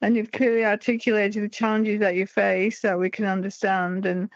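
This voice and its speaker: Australian woman on a parliamentary committee, her voice going hoarse, speaking earnestly and evenly over a dull, lo-fi line. Her tone stays level throughout. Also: medium pitch, flat delivery, moderate pace, dry recording. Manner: earnest, thanking warmly. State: losing her voice. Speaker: committee member.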